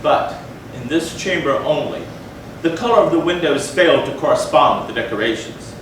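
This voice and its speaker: A man giving a dramatic stage reading of a gothic story with theatrical, measured phrasing. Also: on the high side, lively intonation. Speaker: stage reader. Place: indoor theatre, far from the mic. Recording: echoey theatre from far off, faint noise.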